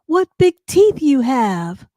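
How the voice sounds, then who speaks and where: A woman's voice: sits high, big pitch swings, takes it slowly, dark tone, no echo, pitched above the performer's own voice, heavily acted. A woman voicing an innocent, wondering little girl in a children's storybook, exclaiming wide-eyed. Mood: wondering, uneasy.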